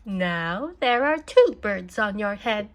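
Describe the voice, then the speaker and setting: A woman voicing a calm, matter-of-fact little pig friend from a picture book, giving simple, helpful answers. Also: medium pitch, takes it slowly. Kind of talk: read-aloud children's storybook.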